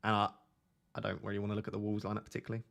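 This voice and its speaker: A young man hosting a live stream, chatting casually with his viewers in a flat, monotone, self-deprecating way. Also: fairly low pitch, racing, creaky. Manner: self-deprecating, chatty, reads out chat messages. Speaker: livestream host.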